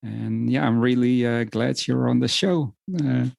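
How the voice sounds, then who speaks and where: A man's voice: mid-range voice, steady tone, unhurried, creaky, a little mellow. A man hosting a podcast, giving an upbeat introduction and then asking questions, often starting sentences with "well" and calling things amazing. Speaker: podcast host.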